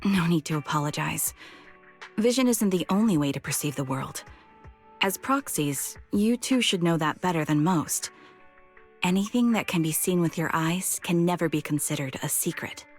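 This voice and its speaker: An actress plays a reserved, perceptive female veteran in a video game. Her voice is on the low side, calm and measured, with formal politeness. There is music behind her. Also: natural voice. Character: composed, perceptive retired female soldier, partly blind, polite and reserved. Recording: music under the voice.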